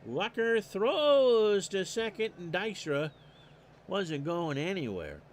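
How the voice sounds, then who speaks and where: A man calls a replayed baseball game on his own, in a high voice and at an easy, unhurried pace. He works through stats with dry little asides and turns loud and excited when the ball is in play. Faint street noise hums behind him. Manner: stat-heavy, dry asides, rising excitement on big plays. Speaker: play-by-play announcer. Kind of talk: solo replay commentary streamed live.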